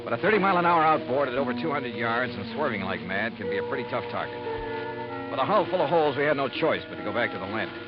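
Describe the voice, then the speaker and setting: An actor playing a hard-boiled investigator who narrates his own case in a 1950s radio drama, crisp and brisk. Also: medium pitch, sing-song, lightly acted. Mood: narrating. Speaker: narrator and lead detective. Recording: muffled old radio broadcast with music.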